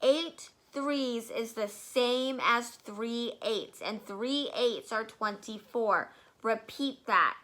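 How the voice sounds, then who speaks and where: A tyrannical storybook headmistress bellows slow, crushing insults at a terrified pupil, as a woman puts her on. The voice sits a little high and hard-edged, each word dragged out for menace. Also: lively intonation, bright, forceful tone, heavily acted, rough recording. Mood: shouting. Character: bullying giant headmistress, furious and insulting.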